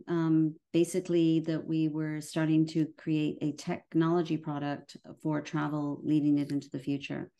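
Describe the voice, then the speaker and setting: Irish woman, low mellow voice, casual webinar presenter, halting with many ums. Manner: hesitant, many fillers and restarts. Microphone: video call.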